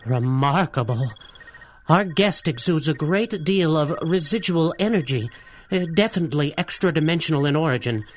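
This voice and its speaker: A man's voice: on the high side, expressive, moderate pace, a little hiss or hum, lightly acted. An actor playing a mild-mannered, curious male scientist who speaks formally and hesitantly in a 1930s-style radio adventure. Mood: fascinated, puzzled. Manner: hesitant, courteous, formal wording.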